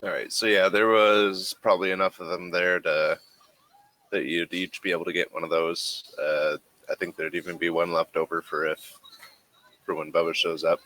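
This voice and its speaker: Male game master, low pitch, forward bright tone, casual tabletop narration. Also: level tone, normal speed, no room sound, low-quality recording.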